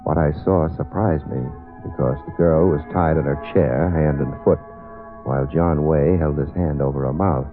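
A man's voice: rumbling low, steady tone, moderate pace. An actor playing a hard-boiled male private eye who narrates in clipped, wry lines, in 1940s radio acting style. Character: tough adult male private eye, wisecracking. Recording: tinny old radio broadcast with music.

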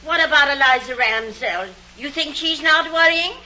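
A woman's voice: high-pitched, moderate pace, pushed and bright. An actress playing a nosy, blunt, fearful old woman of a small town in an old radio drama. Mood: fearful, warning. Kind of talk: 1950s radio drama acting. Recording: dull old radio recording.

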